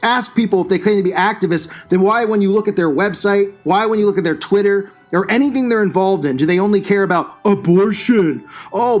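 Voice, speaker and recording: A man hosting his own channel, delivering a combative, scornful religious commentary rant. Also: high voice. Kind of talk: religious commentary rant over news clips.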